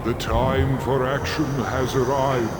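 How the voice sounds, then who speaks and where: A man's voice: mid-range voice, little pitch movement, over music. A man voicing a solemn mystical oracle in a video game, pronouncing rhymed counsel through heavy echo. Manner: slow, chanting, pronouncing. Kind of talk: video game character acting. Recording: echoing hall reverb, noisy ambience.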